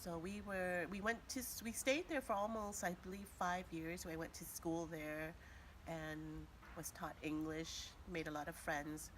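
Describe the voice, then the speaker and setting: A woman in her fifties, born in Vietnam, recalling her family's flight in a hesitant way, with many pauses and fillers. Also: lowish voice, fairly even intonation, a little hiss or hum, poor audio. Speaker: interviewee. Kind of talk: recounting family history.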